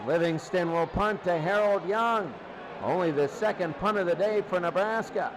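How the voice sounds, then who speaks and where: A high-voiced man does live football play-by-play as the game's commentator. The recording carries clear background noise behind his calls. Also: normal speed.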